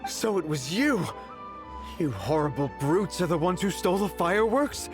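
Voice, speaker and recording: An actor playing an upright, earnest townsman who is quick to indignation, in a video game. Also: high-pitched, animated, over music. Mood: indignant. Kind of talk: video game story dialogue.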